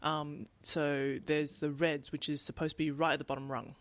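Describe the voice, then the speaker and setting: A woman's voice: sits low, little pitch movement, moderate pace, no echo. A woman in her thirties co-hosting a podcast in casual chat. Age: 30s. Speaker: podcast co-host.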